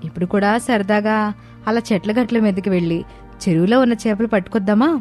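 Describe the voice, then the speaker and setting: Young daughter-in-law female actor, playful and coaxing, expressive cartoon storytelling, light music underneath. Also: medium pitch, brisk, lightly acted. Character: lively teasing young daughter-in-law, fond of fun. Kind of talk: animated moral-story dialogue.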